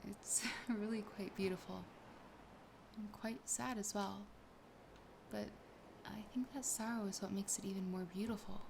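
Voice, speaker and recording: A woman voicing a sassy, possessive, lonely siren in a soft, breathy, often whispered ASMR roleplay, slow, teasing and intimate. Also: medium pitch, fairly even intonation, background noise. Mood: tender, admiring. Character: possessive, sassy, lonely female siren toying with her catch.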